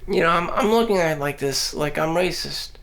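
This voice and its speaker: A man speaking in a somewhat high register delivers a meandering, unscripted monologue for his vlog. He talks bluntly, swears offhandedly and circles back on himself with constant fillers and false starts. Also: a little fast, vocal fry, a bit bright. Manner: meandering, frequent "you know" fillers and restarts. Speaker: vlogger. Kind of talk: rambling personal monologue. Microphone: audio-only recording.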